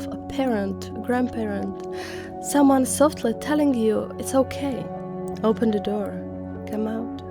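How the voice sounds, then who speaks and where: A woman narrating scary stories conversationally as host, dropping dramatic short phrases and wry personal reactions. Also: fairly high pitch. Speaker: narrator and host of horror legend videos. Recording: music bed under voice. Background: background music.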